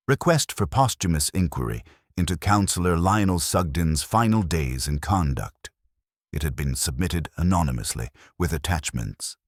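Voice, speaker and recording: Male narrator, very low register, measured literary delivery for mystery fiction, expressive intonation, close dry recording. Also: dry recording. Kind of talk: scripted audio mystery story narration.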